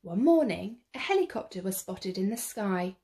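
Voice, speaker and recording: A woman reading a children's picture book aloud in an expressive, theatrical way, drawing out the action words. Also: medium pitch, normal speed. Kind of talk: expressive read-aloud storytelling.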